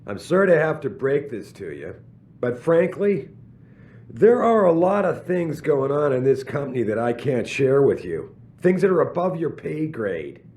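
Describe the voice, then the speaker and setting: An actor playing a proud, evasive middle-aged company boss in a video game, speaking emphatically with drawn-out stresses. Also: male voice, mid-range voice, very animated, darkish tone, close to an everyday voice. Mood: stern, irritated. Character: commanding, evasive, proud corporate boss man. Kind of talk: video game cutscene acting.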